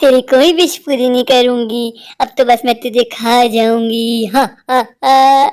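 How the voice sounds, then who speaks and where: A woman's voice: a little high, fairly even intonation, a little slow, darkish tone, clear, resonant tone, natural voice. Cruel, gloating cartoon witch, acted by a woman. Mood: gloating, hungry. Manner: menacing, taunting, boastful. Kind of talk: Hindi cartoon story acting.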